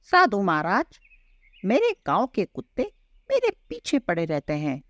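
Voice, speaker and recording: Lady doing a plaintive skinny cartoon cow for a kids story, very animated pitch, dry sound. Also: unhurried, dry recording. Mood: complaining. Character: skinny, harassed village cow, plaintive and pleading. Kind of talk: children's cartoon story acting.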